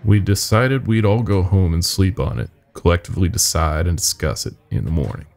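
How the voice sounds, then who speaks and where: A man's voice: sits low, expressive, brisk, gravelly, a little mellow. A man narrating a scripted first-person horror story as a podcast storyteller.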